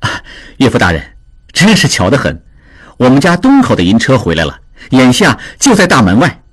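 A man's voice: mid-range voice, wildly swooping pitch, moderate pace, breathy edge. A man voicing a sly, good-humored young merchant who teases and jokes. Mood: teasing. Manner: jovial, teasing.

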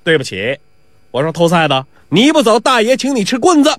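A man's voice: on the high side, normal speed, a bit bright, no room sound, studio quality, lightly acted. A man voicing a young garden watchman in a storytelling piece, pleading or blustering with a hot temper and stumbling when flustered. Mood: angry, threatening.

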